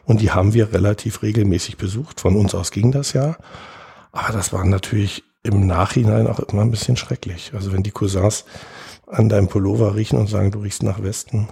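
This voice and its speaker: A man in his fifties from West Berlin, a political journalist talking as a guest in a measured, thoughtful, digressive way. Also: fairly low pitch, steady tone, gravelly, warm tone, husky. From West Berlin, Germany. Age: 50s. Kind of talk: reflective conversational storytelling.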